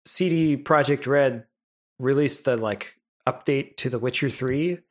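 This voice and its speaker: A young man co-hosting a podcast in casual chat, relaxed and rambling, laughing often. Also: mid-range voice, unhurried. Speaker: podcast co-host.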